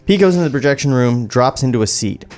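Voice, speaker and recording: A man's voice: mid-range voice, lively intonation, quick pace, a little mellow, soft background music. A man hosting a podcast, reading screenplay stage directions aloud into a studio microphone. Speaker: podcast host and script narrator.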